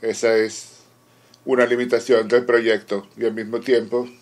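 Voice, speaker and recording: Guy doing live interpreting at a formal meeting, pretty monotone, mid pitch, pauses between short chunks. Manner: measured, halting delivery in short phrases with pauses. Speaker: conference interpreter. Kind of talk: simultaneous interpretation of a formal panel discussion.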